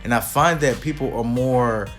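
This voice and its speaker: A man's voice: medium pitch, moderate pace, fairly bright tone, faint music behind. A man in his thirties, chatting casually as a co-host and rambling with frequent fillers. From United States. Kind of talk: casual chat.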